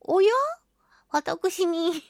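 A woman's voice: extremely high pitch, fairly even intonation, extremely slow, dark tone, no echo, clean studio sound. A woman hosting a casual radio talk show, chatting expressively and playfully with listeners as she reads their mail. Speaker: radio show host and voice actress.

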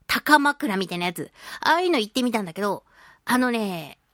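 A woman's voice: mid-range voice, expressive, fairly quick, a bit bright, no room sound. A woman hosting a chatty radio talk show, speaking in a playful, theatrical way with mock-polite phrasing, close to a studio microphone. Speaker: voice actress. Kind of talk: chatty radio talk, reading listener mail.

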